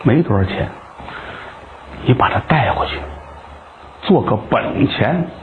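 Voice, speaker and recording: A man voicing a gentle young courtesan in a told story, speaking softly and low. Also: expressive, slow, a little hiss or hum. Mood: tender. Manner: soft, low and hushed. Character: young gentle courtesan woman, tender and resolute. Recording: tinny phone-like sound.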